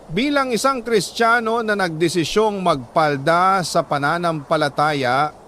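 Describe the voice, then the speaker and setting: A man with a Filipino accent reads a letter as a dramatic first-person story, in a measured, emotive way with drawn-out pauses. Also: sits high, a bit bright, slight background noise. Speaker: radio storyteller reading a listener's letter.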